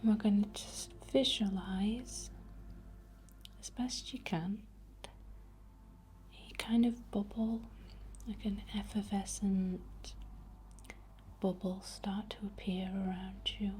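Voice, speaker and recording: Slow, whispering woman, ASMR. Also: mid-range voice, little pitch movement, slight background noise. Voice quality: whispery, breathy. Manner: gentle, unhurried, informal. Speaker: ASMR host guiding a healing session. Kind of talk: whispered ASMR guided meditation.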